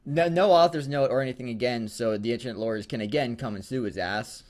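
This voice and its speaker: A man co-hosting a casual read-aloud show, bantering in a crude, giggly, animated way. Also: medium pitch, a little fast. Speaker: co-host of a fan fiction reading show.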